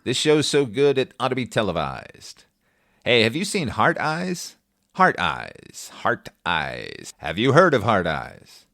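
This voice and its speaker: A man's voice: medium pitch, huge pitch swings, slow pace, gravelly, bright, forceful tone, no room sound, clean studio sound. A man hosting a film review podcast, talking conversationally with wry, profane, opinionated asides.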